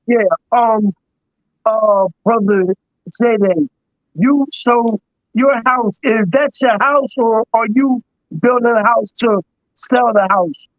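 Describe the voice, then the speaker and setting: A man's voice: very high voice, slow, no echo, muffled recording. A man in his fifties asking questions on a video call, hesitant and restarting his sentences. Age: 50s. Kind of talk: casual live-stream chat.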